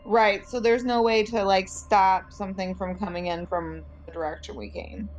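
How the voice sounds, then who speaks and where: A woman's voice: medium pitch, moderate pace, lo-fi sound, slight background noise. A woman chatting casually as a player in a tabletop game, asking about the scene.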